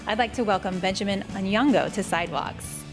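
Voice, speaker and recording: Female interview host, friendly, mid-pitched, light music behind. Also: brisk, low-quality recording. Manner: friendly, laughs often. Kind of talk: casual interview.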